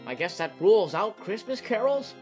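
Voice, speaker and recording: High-voiced, wholesome old-time radio announcer played by a man. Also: big pitch swings, moderate pace, a little mellow, soft background music. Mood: wide-eyed, folksy. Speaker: radio co-host and narrator. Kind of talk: parody of golden-age radio announcing.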